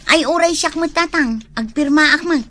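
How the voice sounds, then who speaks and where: A woman's voice: high-pitched, moderate pace, sharp tone. A woman playing a put-upon young mother with an Ilocano accent in a comedy radio drama. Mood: surprised.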